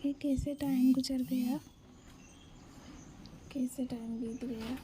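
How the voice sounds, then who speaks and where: A young woman with a soft, breathy voice, often near a whisper, talking in a cutesy, coaxing, affectionate way as a girlfriend on an intimate romantic phone chat. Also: high-pitched, fairly even intonation, slow pace, a little hiss or hum. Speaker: girlfriend in a one-sided phone-call roleplay.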